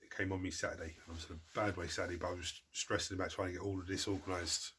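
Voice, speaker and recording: A man's voice: low voice, little pitch movement, very fast, pushed and bright, a little hiss or hum. A man hosting a hobby channel, chatting casually through viewer questions, stuffed up from a cold and rambling as he restarts his sentences. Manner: rambling, self-interrupting, restarts words. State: congested from a cold. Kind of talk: casual chat.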